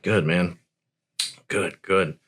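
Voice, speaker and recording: Deep-voiced laid-back man hosting a podcast. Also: extremely slow, a little hiss or hum. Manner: relaxed, profane, peppers talk with "man" and "dude". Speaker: podcast host. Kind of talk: casual conversation.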